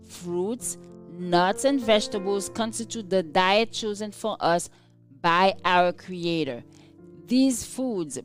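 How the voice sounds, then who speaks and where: A woman reading a scripted devotional aloud in measured, deliberate phrases. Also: fairly low pitch. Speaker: devotional reader. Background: instrumental music.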